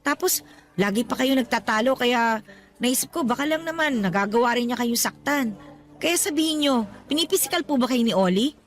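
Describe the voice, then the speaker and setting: A woman's voice: on the high side, lively intonation, a little fast, close to an everyday voice. A woman playing a caring, protective younger woman in a radio drama, speaking earnestly and emphatically. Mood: worried, probing. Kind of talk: Tagalog radio drama acting.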